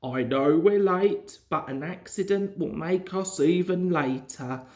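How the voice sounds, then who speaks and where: Woman reading a slow, sensible engine driver. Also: on the low side, darkish tone. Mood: firm caution.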